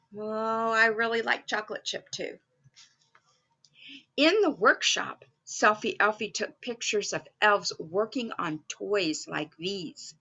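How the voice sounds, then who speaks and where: A woman's voice: a little high, fairly bright tone, highs cut off, full character voice. A woman playing a cheerful, motherly Mrs Claus, reading a story aloud to young children and putting questions to them. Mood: playful, delighted. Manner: exclaiming, addressing young children directly with questions.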